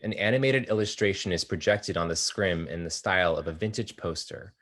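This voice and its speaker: A man reading the stage directions aloud in a steady, descriptive way at a table read of a stage play. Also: low-pitched, a little fast.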